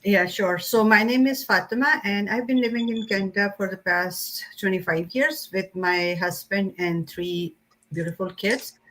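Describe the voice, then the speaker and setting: A woman's voice: mid-range voice, fairly even intonation, normal speed, fairly bright tone, low-quality recording. A middle-aged woman speaking candidly and a little hesitantly as a guest on a video call. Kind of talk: casual video call interview.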